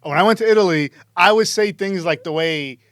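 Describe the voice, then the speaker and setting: Male co-host, fairly high voice, fast emphatic storyteller. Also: noisy background. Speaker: podcast co-host. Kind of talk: casual podcast chat.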